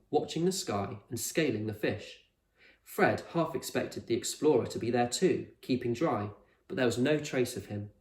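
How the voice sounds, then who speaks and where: Male teacher-narrator, expressive children's storybook read, medium pitch, echoey room. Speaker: teacher reading a children's novel aloud.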